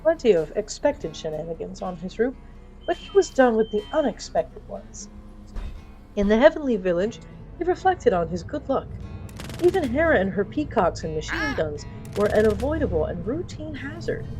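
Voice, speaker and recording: A woman narrates a comic fantasy tale with a wry, theatrical delivery. Her pitch swings in an animated way as she slips in pointed asides to the listener. Guitar music plays clearly behind her. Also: moderate pace, rough recording. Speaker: storyteller of a fiction podcast.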